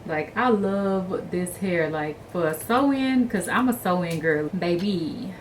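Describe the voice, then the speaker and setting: A woman's voice: fairly low pitch, animated, slow. A young woman, chatting upbeat to viewers and calling them y'all. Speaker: hair vlogger. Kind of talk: casual vlog chat. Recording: distant mic with light room noise.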